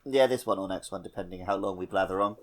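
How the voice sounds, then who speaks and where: A man's voice: sits low, quick pace. A man co-hosting a podcast, talking casually about a video game and often correcting himself as he goes. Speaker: podcast co-host.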